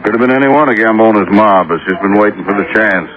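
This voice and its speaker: A man's voice: fairly low pitch, quick pace, lightly acted. A man playing a genial, teasing city police lieutenant with a chatty, informal manner in a vintage radio drama. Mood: frank, businesslike. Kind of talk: 1940s-50s radio drama acting. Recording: dull old radio recording, restaurant chatter behind.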